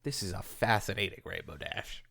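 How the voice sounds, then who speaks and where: A man's voice: low-pitched, normal speed, creaky, a bit bright. A man co-hosting a podcast, giving casual, opinionated commentary. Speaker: podcast co-host.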